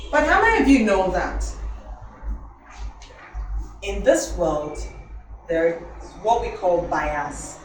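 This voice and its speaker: Slow-talking woman lecturing youths. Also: mid-range voice, darkish tone, a little hiss or hum. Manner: asks the audience questions, repeats for emphasis. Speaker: elocution teacher. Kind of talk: classroom talk to teenagers. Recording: roomy hall from a distance, music behind.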